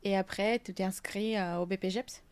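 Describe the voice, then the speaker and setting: A woman's voice: mid-range voice, steady tone, fast, clean tone. A woman hosting a podcast, greeting her guest and asking informal, friendly questions. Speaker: podcast host. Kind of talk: casual interview questions.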